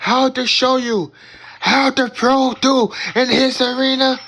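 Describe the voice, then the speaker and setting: Male gamer, fry-heavy high voice, slow sing-song bragging and taunting. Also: little pitch movement, bright, forceful tone, slight background noise. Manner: drawn-out dramatic chanting taunts; boastful, calls opponents noobs. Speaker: gamer commentating own match. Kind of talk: hyped trash-talk commentary.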